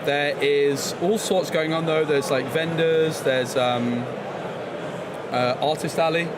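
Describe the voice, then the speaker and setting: A man's voice: a little high, steady tone, slow. A man hosting a casual live card pack-opening stream at a busy gaming convention, playful and jokey. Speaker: streamer and card channel host. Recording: loud crowd noise of a busy event.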